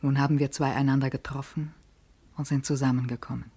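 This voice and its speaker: An actress playing a restless, proud, solitary woman in her thirties in a literary radio monologue, intimate and deliberate, with a breathy voice. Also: very deep voice, fairly even intonation, dark tone, heavily acted. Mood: intense, confiding.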